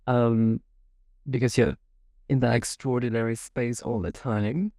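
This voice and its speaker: A man hosting an interview, asking curious follow-up questions and adding soft hums of agreement. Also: medium pitch, steady tone, measured pace, dry recording. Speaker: interviewer, host.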